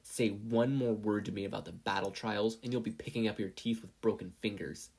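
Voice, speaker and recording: A man's voice: on the low side, steady tone, brisk, a little mellow, slightly rough. A man voicing a proud, aggressive, hot-headed teenage boy in a story reading, combative and grumbling. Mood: threatening.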